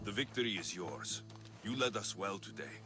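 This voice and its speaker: An actor playing a tough, wry Spartan-born mercenary in a video game, blunt and defiant. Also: male voice, fairly low pitch, expressive, normal speed, music in the background, lightly acted. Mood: dry, blunt. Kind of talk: video game cutscene acting.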